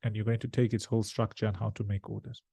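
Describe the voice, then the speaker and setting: A man's voice: fairly low pitch, level tone, quick pace, gravelly, warm tone, slightly rough, no room sound. A man teaching a language lesson one to one, explaining, correcting and translating phrase by phrase as he questions his student. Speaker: Arabic teacher.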